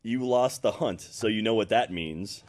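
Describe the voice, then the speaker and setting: A man's voice: fairly low pitch, normal speed, a little mellow. A man on a livestream playfully reading lines of dialogue and bantering, a little off the microphone. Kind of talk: playful read-along with banter. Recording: noisy livestream room, slightly off-mic.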